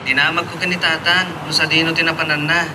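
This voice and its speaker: An actor as a young Ilocano man, a concerned big brother with a fairly high voice. His lines are acted in audio drama style. Clear noise sits behind him. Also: level tone, fast, close to an everyday voice.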